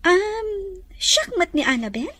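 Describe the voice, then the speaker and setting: A woman acts out a rich young madam in an Ilocano audio drama, haughty and scolding. Her voice is very high and piercingly bright, swinging widely in pitch, with a Filipino accent and a close, dry sound. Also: big pitch swings, measured pace, dry recording, exaggerated voice. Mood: polite, cool. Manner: curt, scolding, imperious. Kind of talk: Ilocano radio-style drama acting.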